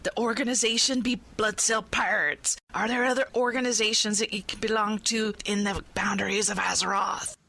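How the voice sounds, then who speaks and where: Woman putting on a bright, playful pirate hostess. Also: medium pitch, fairly even intonation, moderate pace, creaky. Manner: piratey grammar with growled arrs. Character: playful hearty female pirate radio hostess.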